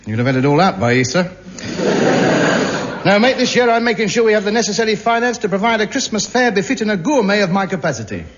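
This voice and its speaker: A man's voice: sits high, very animated, fast, crowd noise. An actor playing a pompous, self-deluding man in a 1950s radio sitcom, delivering grandiose, indignant lines. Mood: smug. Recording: muffled old radio broadcast with hiss.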